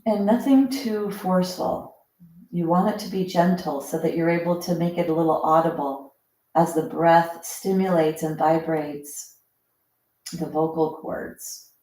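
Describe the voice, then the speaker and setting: A woman leading a guided breathing meditation in a gentle, instructional way, leaving pauses between short phrases. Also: fairly low pitch, steady tone. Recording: roomy, echoing sound.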